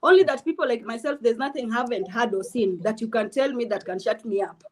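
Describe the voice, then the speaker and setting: A woman's voice: on the high side, fairly quick. A woman from Kenya, giving blunt, emphatic political opinions as an interview guest.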